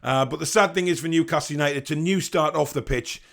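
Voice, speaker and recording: A man hosting a football fan channel, sounding croaky from the flu, chatty as he interviews fans. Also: a little high, fast talker, a bit bright. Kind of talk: fan vlog and vox pops.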